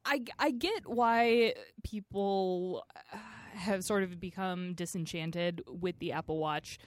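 A woman co-hosting a casual tech podcast. She makes wry, deadpan jokes. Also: fairly low pitch, slow pace, no echo. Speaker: podcast co-host, senior producer. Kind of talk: casual chat.

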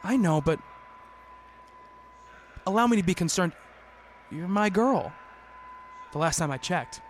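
Man acting an earnest doctor, higher-pitched voice. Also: animated, a little slow, slight background noise. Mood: concerned, earnest. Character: concerned, skeptical male doctor narrating his investigation. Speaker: narrator and lead actor. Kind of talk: radio play narration and dialogue.

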